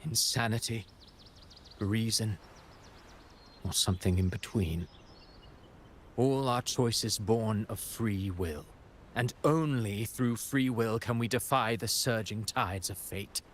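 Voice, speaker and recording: Low-voiced, courteous scheming playwright. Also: male voice, slow, pauses often, a little hiss or hum. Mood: solemn, resolute. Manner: formal, poetic, composed. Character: courteous, scheming playwright villain, calm and theatrical. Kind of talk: video game cutscene acting.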